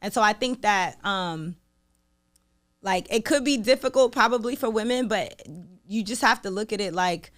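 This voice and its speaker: A young woman with a New York accent chatting casually as an interview guest, talking in an animated way with lots of "like" fillers. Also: mid-range voice, moderate pace. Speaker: guest, radio sales executive.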